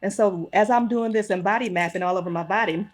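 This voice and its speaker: A woman guest who is a wellness expert, calmly explaining in a casual conversation. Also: medium pitch, quick pace, a little hiss or hum. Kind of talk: casual chat.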